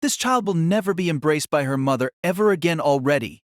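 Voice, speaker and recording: Animated male narrator, fairly high voice. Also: brisk, no echo, clean studio sound. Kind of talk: dramatic scripted audiobook narration.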